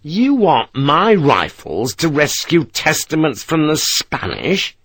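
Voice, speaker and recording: A man's voice: a little high, wildly swooping pitch, takes it slowly, a bit bright, breathy edge, dry recording, clean studio sound, voice pushed up, exaggerated voice. A man playing a blunt army lieutenant. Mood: curt. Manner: curt, blunt.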